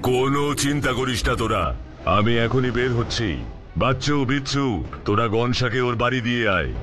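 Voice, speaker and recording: A man's voice: medium pitch, fairly even intonation, a little fast, fairly bright tone, lightly acted. A man playing a mighty, resolute superhero detective in a Bengali children's cartoon, speaking in a firm, protective way. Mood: concerned. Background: background music.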